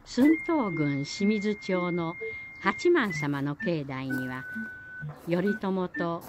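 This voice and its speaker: A woman's voice: fairly low pitch, big pitch swings, very slow, music in the background. A woman giving scripted narration for a folk tale, with background noise around her. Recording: clear outdoor-like background noise.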